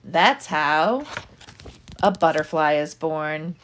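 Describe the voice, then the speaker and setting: Animated, unhurried woman reading to children. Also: low voice, slight background noise. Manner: deliberate, expressive phrasing aimed at young listeners. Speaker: teacher reading a picture book aloud. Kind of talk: children's picture book read-aloud.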